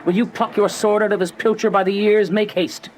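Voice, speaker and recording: A man's voice: sits high, flat delivery, fairly quick, slight background noise, lightly acted. An actor playing a witty, fiery young nobleman in a Shakespearean radio drama, theatrical and mocking. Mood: mocking challenge.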